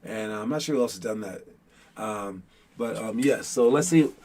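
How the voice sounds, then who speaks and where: A man's voice: on the low side, normal speed, a little mellow. A man hosting a basketball show as casual chat with viewers, talking in an informal, rambling way with playful teasing.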